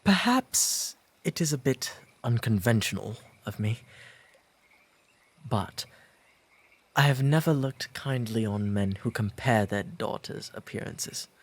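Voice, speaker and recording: An earnest, shyly smitten English gentleman of the 19th century played by a man in a romantic audio roleplay. His delivery is slow and formal, halting a little with nervous sincerity. The voice is soft and breathy, with a slightly husky edge. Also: medium pitch, lively intonation. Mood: earnest, consoling.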